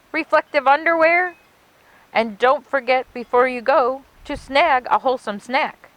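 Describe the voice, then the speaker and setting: A woman's voice: high voice, fairly bright tone, no room sound, voice pushed up, heavily acted, low-quality recording. A woman voicing a fussy, bossy, overprotective parent in a children's storybook, interrupting and nagging insistently.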